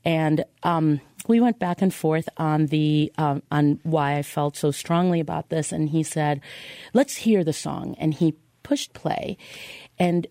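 A woman telling a personal story as a podcast guest, halting at times and repeating words for emphasis. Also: sits low, mellow, dry recording, studio quality.

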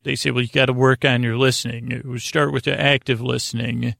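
A man with a creaky voice hosting a bedtime podcast, talking in a meandering, halting, soothing monologue that circles back on itself. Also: mid-range voice, flat delivery, a bit bright, dry recording, studio quality. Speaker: host of a bedtime story sleep podcast. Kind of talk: rambling, soothing monologue to the listener.

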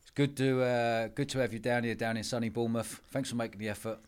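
A man's voice: medium pitch, fast, clear, resonant tone. A man hosting a podcast, upbeat and conversational, often calling his guest mate. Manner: upbeat, lively, says mate a lot. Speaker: podcast host.

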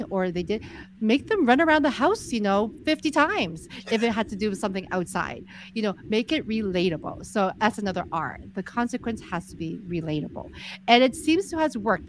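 A soft-spoken woman in her forties, a guest explaining her views in a measured, easygoing interview. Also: mid-range voice, a little hiss or hum. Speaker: podcast guest, entrepreneur. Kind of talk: casual interview conversation.

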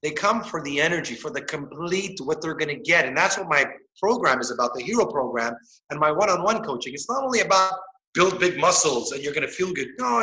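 A man's voice: a little high, bright, forceful tone, reverberant, poor audio. A man in his forties talking emphatically and motivationally in a casual podcast conversation, swearing freely. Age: 40s. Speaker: fitness coach and guest.

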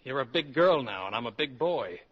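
A man's voice: medium pitch, lively intonation, normal speed, husky. A man in a 1940s radio drama playing a playful college professor in love, teasing and tender. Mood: tender, earnest. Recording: dull vintage radio recording, faint music.

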